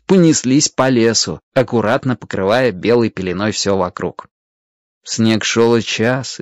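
A man narrating a dramatized story as an expressive storyteller. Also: medium pitch, no echo, lo-fi sound.

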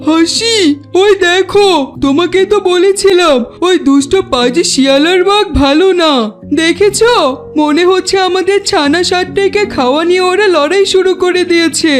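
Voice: extremely high pitch, normal speed, soft background music. An actor voicing an anxious, protective parent duck in a children's cartoon, speaking with urgent warning. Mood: alarmed.

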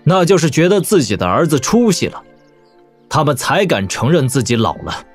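A man playing a calm, commanding young warlord prince in an audio drama, speaking with measured, weighty deliberation. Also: fairly high pitch, breathy edge, soft background music. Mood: solemn, heartfelt.

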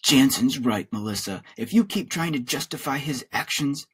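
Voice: medium pitch, animated, moderate pace, slightly rough, voice pushed up. A man voicing a stern, exasperated father in a story reading, with a firm, reproving manner.

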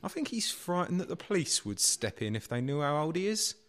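A man's voice: fairly high pitch, big pitch swings, a little fast, fairly bright tone. A man playing a nervous, deferential butler in a radio play, hesitant and stammering. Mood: confiding. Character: nervous, deferential male butler cornered by his betters.

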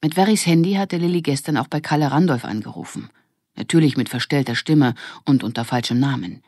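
A woman's voice: rumbling low, fairly quick, darkish tone, slightly rough, no room sound, studio quality. A woman reading a scripted children's story as an audiobook narrator.

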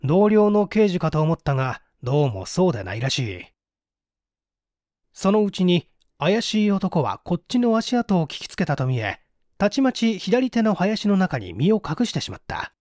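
A man narrating a detective story as an audiobook, with theatrical, deliberate phrasing. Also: sits high, sing-song, a little mellow, no room sound, studio quality. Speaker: audiobook narrator, stage actor.

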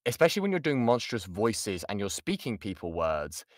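Low-voiced British man teaching informally. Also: big pitch swings, moderate pace, no room sound, studio quality. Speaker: voice actor giving a workshop. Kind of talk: informal lesson answering audience questions. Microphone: close mic on an online voice chat.

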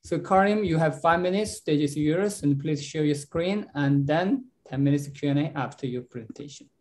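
A man in his thirties hosting an online pitch event as moderator, speaking over a video call. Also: moderate pace, darkish tone. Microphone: video call microphone.

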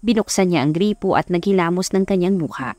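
A woman's voice: fairly low pitch, a little fast, darkish tone, no room sound. A woman reading a scripted romance story as an audiobook narrator. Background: background music.

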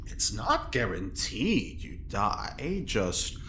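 An actor playing a wry criminal mastermind in prison, deliberate and drily playful, in an audio drama. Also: medium pitch, wildly swooping pitch, a bit bright, a little hiss or hum, heavily acted. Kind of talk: naturalistic audio drama acting.

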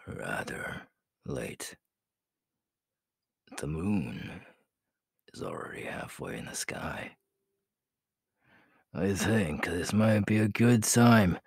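A man playing a ruthless, menacing young werewolf alpha in an ASMR audio roleplay, gravelly and breathy, slow and boastful, often dropping to a whisper. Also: low-pitched, no room sound, clean studio sound, heavily acted. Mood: low, intimate.

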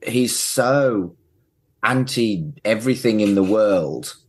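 A man's voice: lowish voice, a little mellow. A man hosting a podcast, chatting casually and bantering about television. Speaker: podcast host.

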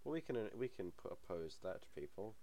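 A man's voice: on the low side, very animated, quick pace, warm tone. A young man hosting a gaming channel, talking casually over a game. He has a cold and the sniffles, and he rambles with many ums and small chuckles. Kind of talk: casual let's-play commentary.

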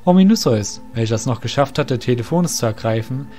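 A man's voice: mid-range voice, expressive, fairly quick, dark tone. A man narrating a scripted fantasy audio drama as storyteller. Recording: music under the voice.

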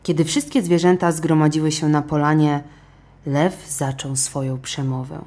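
A woman's voice: low voice, level tone, normal speed, dark tone. A woman reading a scripted children's story as an audiobook narrator.

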